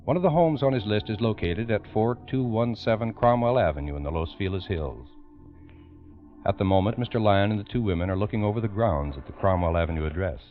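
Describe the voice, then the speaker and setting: A man narrating a true-crime radio drama in the 1940s style, grave, formal and deliberate. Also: lowish voice, expressive, close to an everyday voice. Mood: grave, measured. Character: grave male narrator of a police case. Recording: muffled vintage radio recording, music behind.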